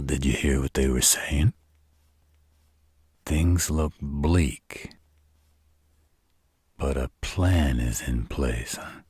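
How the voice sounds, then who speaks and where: Very deep, gravelly supernatural tough guy played by a man. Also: darkish tone, no echo, clean studio sound, full character voice. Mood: probing. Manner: gruff, deliberate, slangy. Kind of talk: roleplay audio drama addressed to the listener.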